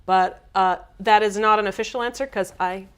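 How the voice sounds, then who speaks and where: A woman's voice: medium pitch, fairly even intonation, normal speed, clear, resonant tone. A woman with a Canadian accent, a guest on a live book club stream, talking in a thoughtful, chatty way with frequent fillers. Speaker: guest from the game studio. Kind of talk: casual live chat.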